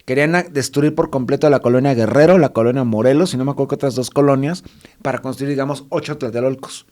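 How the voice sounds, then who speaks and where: A man with a Mexican accent talks loosely on a panel show. He wanders off on tangents and corrects himself mid-sentence, keeping the tone relaxed and conversational. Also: mid-range voice, fast talker. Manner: rambling, digressive, with frequent self-corrections. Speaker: co-host. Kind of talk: casual panel chat.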